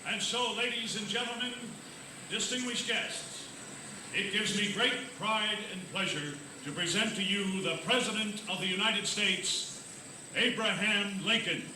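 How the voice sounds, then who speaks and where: A formal, proud ceremonial announcer in a dramatized historical scene. His voice is high for a man and grandly declaimed, coming from far away with heavy echo and noisy ambience. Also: unhurried. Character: formal, proud master of ceremonies introducing the President. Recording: distant, very echoey, noisy ambience.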